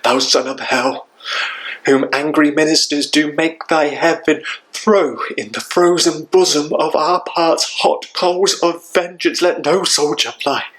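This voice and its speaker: A man's voice: fairly high pitch, fairly even intonation, measured pace, fairly bright tone, poor audio. A man playing a grief-stricken, vengeful young warrior in a Shakespeare monologue, declaiming with anguished intensity. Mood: anguished, raging.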